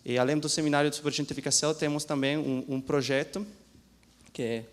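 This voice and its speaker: A man, a law professor, giving a seminar talk in a lecture hall, speaking haltingly with many restarts and a mix of languages. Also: fairly high pitch, steady tone. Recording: long hall reverb.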